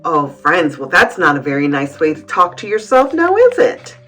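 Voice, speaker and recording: A woman reading a picture book aloud to young children, adding gentle, encouraging comments and addressing them as friends. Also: sits low, very animated, moderate pace, soft background music. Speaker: children's read-aloud host.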